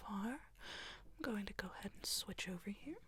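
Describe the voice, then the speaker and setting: A woman whispers softly and breathily, as if attending to someone at a sleep clinic. Her manner is unhurried, soothing and reassuring. It is an intimate ASMR roleplay delivery, kept almost entirely unvoiced. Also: mid-range voice, expressive. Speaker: ASMR performer playing a sleep clinic technician.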